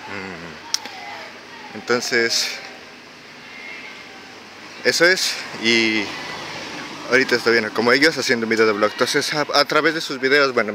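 Hesitant Ecuadorian young man, bright voice. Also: fairly low pitch, slight background noise. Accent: Ecuadorian Spanish (Quito). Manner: hesitant, many fillers and restarts. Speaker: exchange student vlogger. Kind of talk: casual walking vlog. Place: outdoors on quiet residential streets. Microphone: handheld camera while walking.

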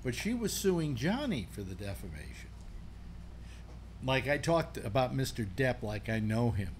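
An older man hosts a loose panel conversation over a video call. He muses aloud, backtracks, and restarts his sentences, in a voice of ordinary pitch. A faint hiss of noise sits behind him. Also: big pitch swings, a little slow. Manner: rambling, musing aloud with many restarts. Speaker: host. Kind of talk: casual panel chat. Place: home room on video call.

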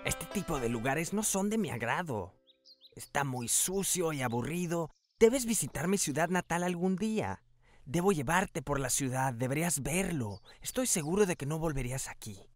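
An actor playing a boastful, snobbish city mouse with an expressive, haughty delivery in a children's cartoon. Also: medium pitch, normal speed, faint music behind, close to an everyday voice. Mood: snobbish, disdainful. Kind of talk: children's cartoon dub acting.

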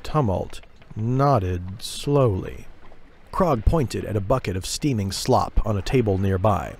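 Low-pitched man narrating fantasy drama. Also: animated, slow pace, creaky, a little mellow, slight background noise. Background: music.

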